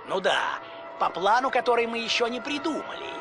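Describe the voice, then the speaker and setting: A man's voice: very high voice, expressive, brisk, creaky, over music, full character voice. An actor playing a nervous, twitchy mad scientist in a game dub, jittery and self-important.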